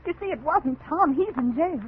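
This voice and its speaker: An anxious plantation lady in a 1940s radio thriller. Her voice is high and very animated, urgent and pleading. It comes through a thin, noisy old recording. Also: normal speed, lo-fi sound. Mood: frightened, pleading. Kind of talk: 1940s radio drama acting.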